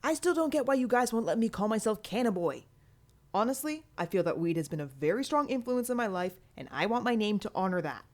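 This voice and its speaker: A woman's voice: medium pitch, expressive, brisk, voice pushed up, natural voice. A woman narrator plays a cocky young man, a superhero magician in an audiobook. He is flippant and teasing, always needling his teammates.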